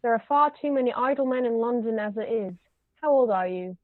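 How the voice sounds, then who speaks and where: Imperious society matriarch played by a woman, high-pitched and pompous, stage comedy read-through, roomy muffled sound. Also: a little fast, lightly acted. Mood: haughty, appraising. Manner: pompous, formal, pronouncing judgments. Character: imperious, haughty older aristocratic English lady, snobbish interrogator. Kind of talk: amateur table reading of a stage comedy. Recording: roomy, muffled low-quality recording.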